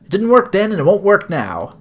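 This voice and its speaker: Captive young heroine, read by a man: drowsy, sarcastic, exasperated, highly animated game dialogue. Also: brisk, full character voice. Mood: sarcastic, resolute. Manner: wry, exasperated internal monologue.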